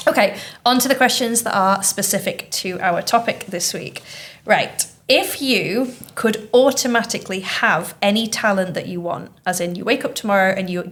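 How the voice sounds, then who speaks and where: A woman's voice: mid-range voice, fairly bright tone. Chatty Mancunian woman hosting. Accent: Mancunian English. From Manchester, England. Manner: chatty, encouraging, lots of "like" and "okay". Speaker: teacher hosting a school podcast. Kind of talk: casual interview chat with pupils.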